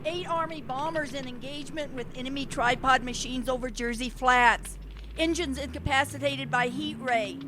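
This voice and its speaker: An actor playing a male military radio operator reading crisp, clipped dispatches on a noisy 1930s radio recording. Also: very high-pitched, fairly even intonation, normal speed, bright, forceful tone. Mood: urgent. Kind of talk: 1930s radio drama acting.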